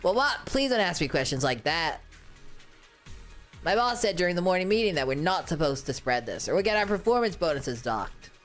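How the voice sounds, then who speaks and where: Jumpy, evasive factory worker. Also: male voice, high voice, very animated, a little fast, fairly bright tone, soft background music. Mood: flustered, defensive. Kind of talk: video game voice acting.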